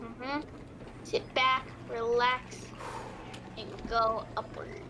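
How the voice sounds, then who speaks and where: A woman's voice: a little high, little pitch movement, drawn-out words, sharp tone, a little hiss or hum. A young woman playing a game with friends, making short, chatty remarks. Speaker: player. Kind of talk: casual gaming chat. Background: game music.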